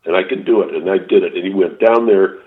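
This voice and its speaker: An older man calls in on a muffled, lo-fi phone line and tells rambling stories. He keeps restarting his sentences, and his voice sits a little low. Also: fast talker. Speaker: author guest. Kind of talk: casual storytelling interview. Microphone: phone call.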